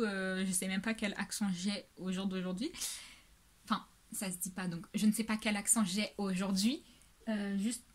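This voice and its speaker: A young woman in her twenties hosts a relaxed question-and-answer vlog about books. She talks in a chatty, rambling way, full of fillers and restarts, with a voice sitting a little higher than most women's. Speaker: book channel host. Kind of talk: casual Q&A vlog.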